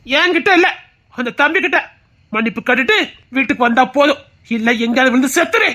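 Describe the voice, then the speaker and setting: A man's voice: very high voice, lively intonation, fast, bright, forceful tone, heavily acted. An actor playing a stern, principled father who firmly scolds his daughter. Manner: firm, scolding.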